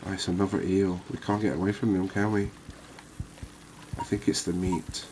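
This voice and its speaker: A man giving calm, unhurried, soft-spoken ASMR gameplay commentary, musing aloud as he plays. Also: deep voice, noisy background. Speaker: gaming video host.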